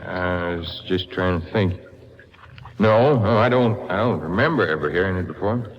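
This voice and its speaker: An actor playing a courteous, guarded mine owner in an old-time radio drama, speaking politely and deliberately. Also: male voice, low-pitched, slight background noise, close to an everyday voice. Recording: tinny, narrow vintage radio recording.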